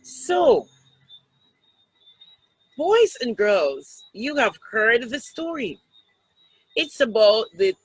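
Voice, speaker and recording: A woman reads a picture book aloud to children in a deliberate way, pausing to point things out and ask questions. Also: mid-range voice, breathy edge, dry recording, poor audio. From Jamaica. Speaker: storytime reader, teacher.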